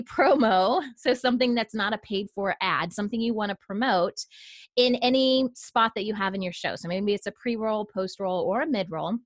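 A woman's voice: mid-range voice, no echo. A woman explains things informally and emphatically, in an encouraging, conversational way. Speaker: podcast network director and presenter. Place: small home room, indoors. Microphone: studio condenser mic with pop filter, close up.

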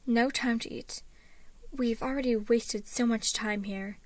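A woman's voice: fairly high pitch, steady tone, a little slow, no echo, close to an everyday voice. A woman narrator voicing a blunt, wary, foul-mouthed woman, reluctantly protective, speaking in terse, urgent, half-whispered lines. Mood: blunt, agitated.

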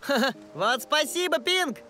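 A woman's voice: sits high, lively intonation, measured pace, bright, forceful tone, a little hiss or hum, heavily acted. A woman voicing a boastful, hearty young triceratops robot in a children's cartoon dub. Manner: boastful, emphatic. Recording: music behind the voice.

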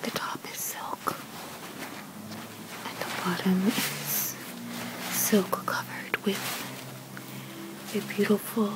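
A woman whispering softly and breathily in an ASMR roleplay as a shop consultant, in unhurried short phrases with hesitant pauses. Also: medium pitch, little pitch movement, poor audio. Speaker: ASMR creator playing a bridal boutique consultant.

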